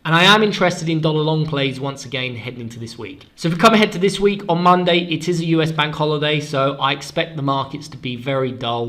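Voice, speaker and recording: A man of middle pitch talks through a weekly currency forecast with a stuffed-up voice from a cold. He explains briskly and stresses the key words. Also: fairly bright tone. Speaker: forex analyst and show host. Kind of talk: weekly market forecast talk.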